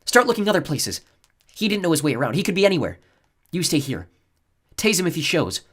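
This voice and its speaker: Male reader doing an impatient schemer woman, raised pitch, barking orders in an audiobook. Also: big pitch swings, a little fast, husky, exaggerated voice. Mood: agitated. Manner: loud, agitated, commanding.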